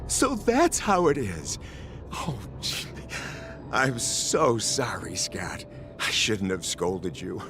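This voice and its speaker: Blustering game patriarch, middle-aged, scheming, gravelly, wildly animated, theatrical. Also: male voice, on the low side, music in the background, heavily acted. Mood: calmer, smug. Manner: theatrical, blustering, drawn-out emphasis. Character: scheming, bombastic middle-aged businessman father, ruthlessly proud. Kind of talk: video game voice acting.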